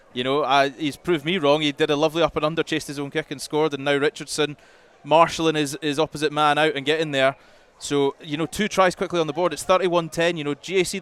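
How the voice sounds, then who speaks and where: A man's voice: a little high, a bit bright, smooth. A man giving chatty, conversational analysis as a rugby co-commentator. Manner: chatty, running explanations peppered with "you know". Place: commentary box at a rugby ground. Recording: faint outdoor ground noise.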